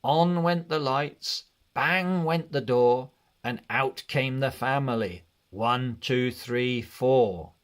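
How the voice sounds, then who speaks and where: A man narrating a children's read-along storybook in an expressive, deliberate way. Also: medium pitch. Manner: expressive, deliberate, measured delivery.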